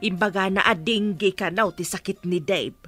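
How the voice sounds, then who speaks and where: A bright, clear-cut mature mother acted by a woman with an Ilocano accent. She talks in radio drama style at a middle pitch, with faint music behind. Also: moderate pace, close to an everyday voice. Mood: concerned, wry. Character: married mature mother gossiping about family.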